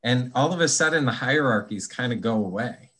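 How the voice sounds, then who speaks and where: A man's voice: medium pitch, expressive, a little fast, a bit bright, slightly rough. A man talking as a podcast guest, explaining ideas in a thoughtful, conversational way with frequent restarts. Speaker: guest, anti-bias trainer.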